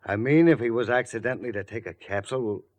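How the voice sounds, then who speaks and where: An actor playing a quietly menacing, shady gangster in a 1940s radio mystery, with sly, slangy tough talk. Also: male voice, medium pitch, fast talker, close to an everyday voice. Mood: menacing, persuasive. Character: shady gangster man, quietly menacing, offering murder money.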